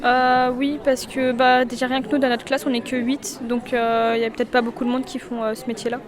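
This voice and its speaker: A woman's voice: on the high side, flat delivery, fairly quick, crowd noise. A twenty-one-year-old woman, a student, giving casual and slightly hesitant interview answers. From France. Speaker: social work student being interviewed.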